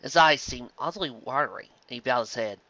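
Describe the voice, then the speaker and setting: A man's voice: medium pitch, brisk, sharp tone, muffled recording. A man reading fiction aloud as a dramatized narration, recorded close in a dry space. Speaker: story reader.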